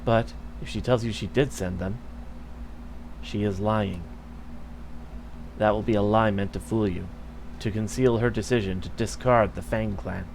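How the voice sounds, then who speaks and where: A man voicing a scheming courtier who speaks in a measured, insinuating way. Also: fairly low pitch, steady tone, creaky, darkish tone, slight background noise, rough recording. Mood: sly.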